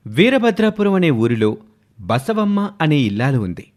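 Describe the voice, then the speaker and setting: A man tells a scripted moral tale as a storyteller. His voice sits fairly high and moves with very lively intonation. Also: normal speed.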